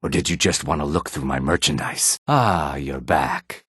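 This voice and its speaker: A sly reptilian fence from a fantasy video game, speaking very low with a husky rasp. He is wary and self-serving, a guarded, slightly haughty dealer. The sound is close and dry. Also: normal speed, fairly bright tone, no room sound, full character voice. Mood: pleased, salesmanlike. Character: shady male lizard-man fence, wary, sly, self-serving merchant. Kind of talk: scripted video game character dialogue.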